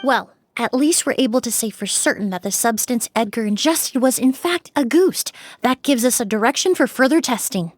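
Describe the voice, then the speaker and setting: Casting: composed forensic cleaner for a game, slightly high voice, measured and analytical. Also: female voice, animated. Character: calm, poised female forensic cleaner and perfumer. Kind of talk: video game voice acting.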